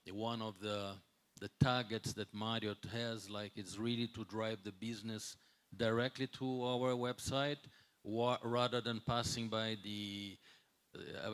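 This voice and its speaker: Older man speaking haltingly, lower-pitched voice. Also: a bit bright, smooth. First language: not English. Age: 60s. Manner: halting, searching for words, with repeated words. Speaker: hotel executive speaking on a conference panel. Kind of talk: unscripted conference talk.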